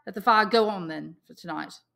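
A woman's voice: medium pitch, brisk, gravelly, pushed and bright. A woman voicing an earnest, frustrated boy leader in a storybook, with an emphatic, insistent manner. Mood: resigned. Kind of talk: storybook character reading.

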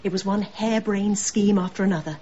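Actress as a scornful villainess, slightly muffled. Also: female voice, medium pitch, steady tone, fairly quick, mellow, breathy edge, natural voice. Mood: sardonic. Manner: mocking, scornful. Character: bitter, sardonic murderous wife holding people at gunpoint. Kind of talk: radio drama acting.

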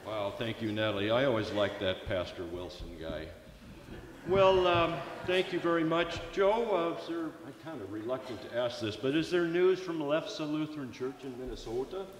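Older man hosting, lively pitch, echoey church. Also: normal speed, crowd noise. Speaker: host of an old-time gospel radio show at a church. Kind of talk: scripted radio-show hosting before a live congregation. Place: large church sanctuary, at a pulpit. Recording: echoey church hall, faint room noise.

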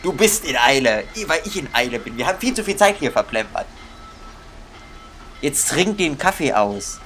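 A young man comments casually on a game he is playing. He grumbles along in comic exasperation at a moderate pitch. There is faint noise behind his voice. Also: big pitch swings, normal speed, fairly bright tone. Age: young adult. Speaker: let's player.

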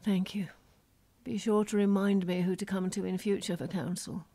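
A woman playing a grave, composed prime minister with a soft, husky voice in an audio drama. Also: mid-range voice, level tone, normal speed, natural voice. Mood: troubled, quiet. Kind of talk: radio drama acting.

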